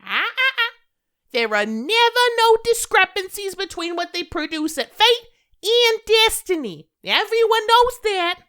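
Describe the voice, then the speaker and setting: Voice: very high voice, sing-song, measured pace, pushed and bright, full character voice. A performer playing a fussy, childlike office underling in a comic audio drama, flustered and given to exclaiming. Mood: excitable.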